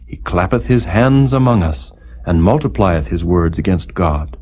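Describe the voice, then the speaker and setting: A man with a fairly low, mellow voice reads scripture solemnly from a script. His tone is husky and soft-edged, and his delivery is formal, measured and declamatory. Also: expressive. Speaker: audiobook Bible reader.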